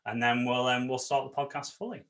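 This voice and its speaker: An upbeat man hosts an interview podcast, warmly enthusiastic as he welcomes and questions his guest. His tone is bright and forward, at a middle pitch. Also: fairly even intonation, fast talker. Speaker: podcast host.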